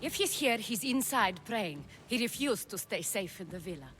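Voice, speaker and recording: A woman's voice: medium pitch, very animated, normal speed, fairly bright tone. An actress playing a composed, persuasive Athenian noblewoman, firm and defensive, in a dramatic video game cutscene.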